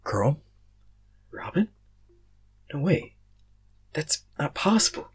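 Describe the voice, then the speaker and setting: Story character: confused, panicky young man, done by a male reader, sarcastic, halting, husky. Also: a little high, sing-song, pitched above the performer's own voice, full character voice. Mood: bewildered.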